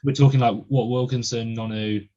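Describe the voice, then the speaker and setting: Chatty young podcast host, muffled sound. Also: male voice, medium pitch, steady tone, moderate pace, dark tone. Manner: chatty, many ums and uhs. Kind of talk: casual podcast chat.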